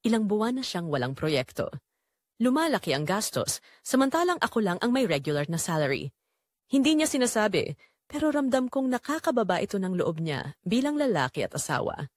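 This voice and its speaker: A woman's voice: mid-range voice, sing-song, a little fast, no room sound, clean studio sound. A woman giving a dramatic, scripted first-person narration as the storyteller of an audio drama.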